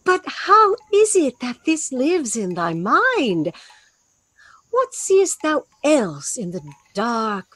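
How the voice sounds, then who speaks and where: A woman's voice: high voice, huge pitch swings, a bit bright, no room sound, exaggerated voice. A woman playing a commanding, tender sorceress mother with stately delivery in a Shakespearean radio play. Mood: solemn, commanding. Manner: stately, deliberate. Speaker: actor in a Shakespeare audio play. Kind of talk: Shakespearean radio drama acting.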